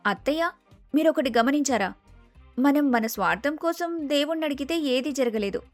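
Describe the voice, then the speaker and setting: Lady playing sweet selfless young daughter in law, kinda high voice, earnest n respectful, moral story with soft music. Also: lively intonation, moderate pace, no echo. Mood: earnest, reflective. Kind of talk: storybook character dialogue.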